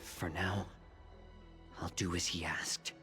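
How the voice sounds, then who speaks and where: A fairly low-pitched male fox-man healer from a video game. He sounds shrewd and composed, weighing each thought with wary care, over faint music. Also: little pitch movement, takes it slowly, sharp tone, full character voice. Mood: wary, pondering. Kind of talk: dramatic video game voice acting.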